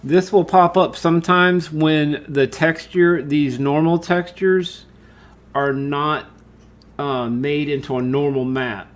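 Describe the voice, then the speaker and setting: A man giving an informal screen-share software tutorial, talking through his steps and thinking aloud as he works. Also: a little high, steady tone, takes it slowly. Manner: casual, thinking aloud, addresses viewers as guys. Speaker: tutorial host.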